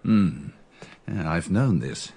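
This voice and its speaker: An actor playing a grave, knowing doctor who explains things in a deliberate, clinical way in a radio drama. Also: male voice, low voice, lively intonation, dark tone, husky, exaggerated voice.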